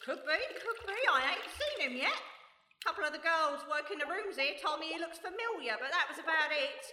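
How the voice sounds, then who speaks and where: An actress playing a brash, ruthless London smuggler with a cheeky, slangy manner in a radio play. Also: female voice, high voice, animated, fairly quick, bright, forceful tone, slight background noise, heavily acted. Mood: gossipy. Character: brash, gleefully ruthless female Cockney smuggler. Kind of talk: radio play acting before a live audience. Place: stage in a hall with live audience. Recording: echoey hall, live stage recording.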